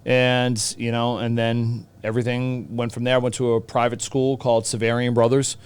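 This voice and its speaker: Clear-voiced man with a Boston accent. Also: medium pitch, little pitch movement, moderate pace. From Boston, USA. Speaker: teacher and hockey coach, podcast guest. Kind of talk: casual interview chat.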